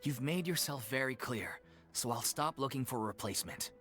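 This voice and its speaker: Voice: mid-range voice, expressive, a little fast, a bit bright, faint music behind, lightly acted. A voice actor playing an anxious, apologetic member of a theater troupe in a game.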